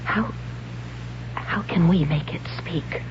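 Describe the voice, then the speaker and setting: A woman's voice: lowish voice, slow. An actress playing a brave, wondering young tribeswoman in a 1970s radio drama, speaking solemnly in simple phrases. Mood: hushed, uneasy. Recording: dull, noisy old radio broadcast with hum.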